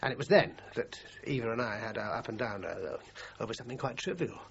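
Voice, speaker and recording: A man's voice: medium pitch, animated, fast talker, vocal fry. An actor playing a defensive, down-on-his-luck film composer in a radio crime drama, hesitant and prickly in his self-justification. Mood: subdued, regretful. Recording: muffled, noisy radio drama recording.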